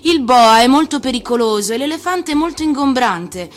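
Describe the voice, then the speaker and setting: A woman's voice: high-pitched, animated, normal speed, voice pushed up. A woman voicing a small, innocent little prince from another planet in a storybook reading, childlike, earnest and demanding. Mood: insistent, fussy.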